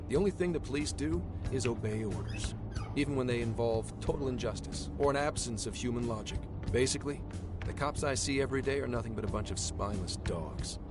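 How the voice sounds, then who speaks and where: An actor playing a jaded, easygoing middle-aged policeman in a video game, gruff and casual. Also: mid-range voice, fairly quick, lightly acted. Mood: bitter. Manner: gruff, casual, world-weary. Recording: clear background music under the voice.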